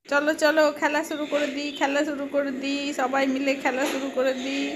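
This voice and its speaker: A woman's voice: sits high, flat delivery, brisk, close to an everyday voice. A woman voicing an impatient young playmate who chatters and urges friends on in a doll-play story. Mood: playful taunting. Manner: chattering, urging, repetitive. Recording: faint background noise.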